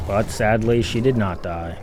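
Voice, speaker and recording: A man's voice: lowish voice, a little slow, noisy background. A man giving an unscripted, exasperated video game critique with a lot of swearing. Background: game audio.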